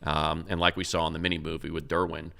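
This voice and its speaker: Deep gravelly-voiced guy rambling about football, lots of restarts and "you know"s. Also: little pitch movement, fast talker, pushed and bright. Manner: loose, rambling, many restarts and fillers. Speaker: co-host, radio play-by-play announcer. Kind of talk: casual sports talk.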